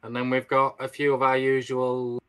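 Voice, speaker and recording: A man's voice: medium pitch, monotone, moderate pace, fairly bright tone, clean tone. A man co-hosting off to the side, reading viewer names from the chat.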